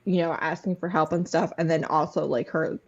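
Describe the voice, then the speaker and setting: A woman's voice: sits low, fairly even intonation, fairly quick, breathy edge. A woman, talking casually and bluntly. The audio is dull and lo-fi, like a livestream. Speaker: book-chat livestream participant. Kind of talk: casual chat.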